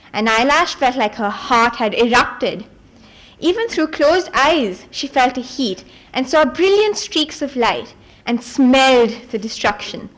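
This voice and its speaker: Casting: woman with a high voice for an expressive live reading of a fantasy novel, small stumbles left in. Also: low-quality recording. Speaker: reader at a book event.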